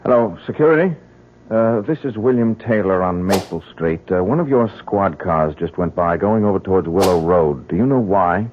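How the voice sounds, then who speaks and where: An actor playing an uneasy, resigned husband in an old-time radio drama, speaking in a hesitant, subdued way. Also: male voice, low voice, lively intonation, normal speed. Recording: tinny, faintly noisy old radio recording.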